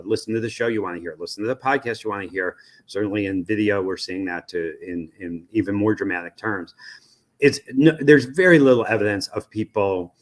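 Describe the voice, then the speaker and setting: Low-voiced American man, thoughtful and halting. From United States. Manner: thoughtful, halting, often restarting mid-phrase. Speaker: media research executive, interview guest. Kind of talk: interview answers, conversational analysis.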